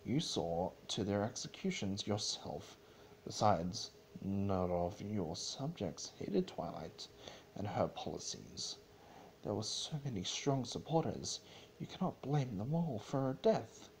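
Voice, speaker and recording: A man's voice: fairly low pitch, big pitch swings, slow pace, a little hiss or hum, full character voice, rough recording. A man voicing a concerned, firm younger pony princess, earnest and exasperated, in a dramatic story reading. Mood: firm, reproachful.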